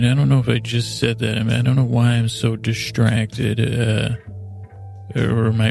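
A man hosting a bedtime podcast in a lulling, meandering monologue, halting and digressing as he goes, with a gravelly, creaky voice. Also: mid-range voice, a little mellow. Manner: hesitant, halting, repeats and digresses; lulling, soothing delivery.

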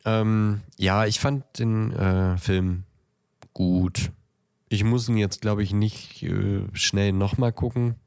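A man chatting casually as a podcast co-host, halting now and then and repeating words as he goes. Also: lowish voice, steady tone, darkish tone, clear, resonant tone, dry recording, clean studio sound. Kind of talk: casual chat about films.

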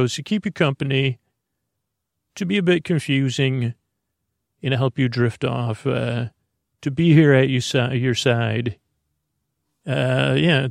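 A man hosting a bedtime podcast in a meandering, halting monologue, stammering and drifting off on gently silly, whimsical tangents. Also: medium pitch, gravelly, dry recording, clean studio sound. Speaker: podcast host. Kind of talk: rambling bedtime monologue to help listeners sleep.

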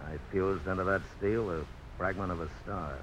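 Blacksmith: low, bright-edged, proud dreamer, folksy storyteller, vintage radio play with light hiss. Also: male voice, steady tone, normal speed. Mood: solemn. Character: proud, visionary frontier blacksmith who loves a challenge. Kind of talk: 1950s radio drama acting. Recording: noisy old radio broadcast.

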